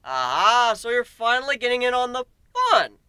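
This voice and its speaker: A man's voice: extremely high pitch, sing-song, moderate pace, sharp tone, clear, resonant tone, dry recording, studio quality, voice pushed up, heavily acted. A man voicing a cocky, mischievous griffin captain with a smug, teasing, boisterous manner in a fantasy story. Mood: smug, excited.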